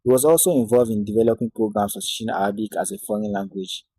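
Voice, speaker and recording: A man narrating an introductory Arabic lesson in a measured, devout way, opening and closing with Islamic invocations. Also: mid-range voice, big pitch swings, gravelly, mellow, slightly rough, no room sound. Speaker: Arabic teacher.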